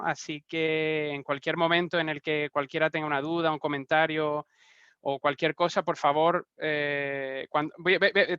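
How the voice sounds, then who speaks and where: A man's voice: fairly high pitch, bright, forceful tone, smooth, dry recording, highs cut off. A man in his thirties with a Spanish accent, giving a casual online talk with frequent restarts and fillers. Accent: Spanish (Spain). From Argentina, raised in Spain. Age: 30s. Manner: hesitant, many restarts and fillers. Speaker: guest speaker. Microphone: video call.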